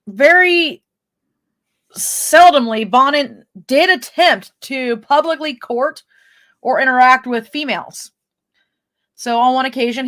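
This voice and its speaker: Woman podcast cohost, kinda high voice, true crime stuff, informal and chatty. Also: fairly bright tone. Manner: informal, banters with co-host. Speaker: podcast co-host. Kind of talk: true-crime podcast talk, part read from notes, part casual chat.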